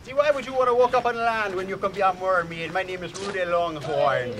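A flamboyant, self-important showman, as a man puts him on speaks in a put-on Jamaican accent. He stretches out grand, theatrical pronouncements in a raised voice. Loud open-air noise fills the background. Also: high voice, lightly acted. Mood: boastful. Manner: grand, theatrical, drawn-out declarations. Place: outdoor beach stage at night.

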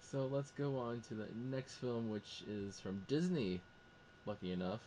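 A man of average pitch hosts an informal discussion. He moderates casually, and there is clear noise in the recording behind his voice. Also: unhurried, dark tone, low-quality recording. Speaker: host.

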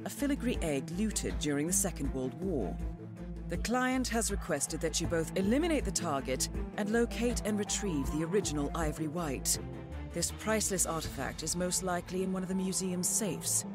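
A composed female agency handler from a video game briefs her assassin in a formal, measured tone, voiced by a woman. Her pitch sits low but moves about freely. A music bed runs clearly underneath. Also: animated, lightly acted. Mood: calm.

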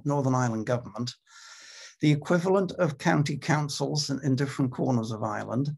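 An older Welsh man, a native Welsh speaker, presenting a webinar over a video call, measured and given to repeating words. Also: fairly high pitch, creaky, slightly rough. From Wales.

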